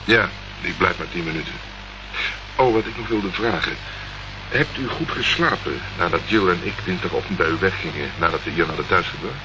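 An actor playing a terse, dogged private detective in a Dutch radio play, asking clipped, probing questions. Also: male voice, low-pitched, a little fast, lightly acted. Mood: matter-of-fact. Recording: noisy, muffled old radio recording.

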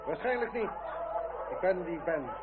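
An actor playing a haughty, unrepentant middle-aged aristocrat, speaking gravely and formally in a period radio drama. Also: male voice, high-pitched, animated, normal speed, a loud crowd. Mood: proud, defiant. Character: haughty, unrepentant aristocrat, father of a grown son. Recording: tinny, very noisy old radio play recording.

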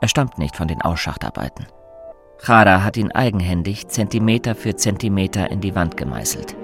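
An actor as the composed, observant narrator of a radio drama, reading scripted prose. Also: male voice, deep voice, animated, a little fast, music in the background. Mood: composed, grave. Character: omniscient storyteller, composed and observant.

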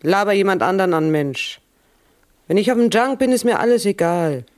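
An irritable, dismissive junkie in a radio play. The voice is high and slangy, full of apathy and impatience. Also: male voice, lively intonation, normal speed. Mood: irritated. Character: surly, irritable, apathetic drug addict. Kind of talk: radio drama acting.

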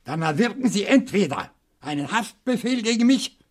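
Proud old professor, husky higher voice. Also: male voice, very animated, normal speed. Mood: indignant, dignified. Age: 70s. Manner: articulate, formal, indignant pride. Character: dignified, wary seventy-year-old French professor living among books. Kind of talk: German radio crime drama acting.

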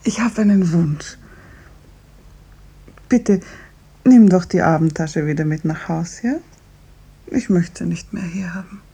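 An actress in a 1950s radio drama playing a suffering, bravely resigned dying patient, with a breathy, often half-whispered voice. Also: female voice, fairly low pitch, measured pace, pauses often, dark tone. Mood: weak, tender, resigned.

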